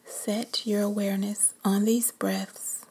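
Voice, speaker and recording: A woman whispering softly as she leads a guided meditation. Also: mid-range voice, flat delivery, slightly rough, poor audio. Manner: whispered, slow and soothing.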